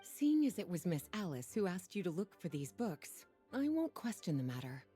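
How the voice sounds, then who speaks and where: A woman playing a languid, teasing adult librarian in a video game, poised and breathy. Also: on the low side, sing-song, normal speed, mellow, soft background music. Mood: composed. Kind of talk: animated video game voice acting.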